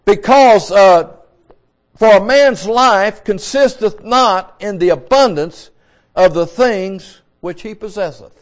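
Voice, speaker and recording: A man in his sixties preaches with a high, light voice. He talks in a folksy way, often repeating himself and restarting words as he builds his points. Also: slow. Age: 60s. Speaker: pastor. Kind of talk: sermon.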